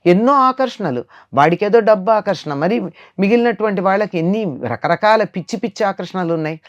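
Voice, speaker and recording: A woman's voice: medium pitch, animated, brisk, muffled recording. An older woman giving a moral discourse in conversation as a spiritual teacher, emphatic and repeating phrases to drive points home.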